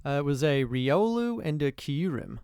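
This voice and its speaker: A man's voice: a little high, normal speed, clean tone, no room sound. Young man, let's play host, voice a little off from allergies, swears and rants, dry close sound. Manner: casual, swears and rants in sudden excited bursts. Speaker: gaming video host.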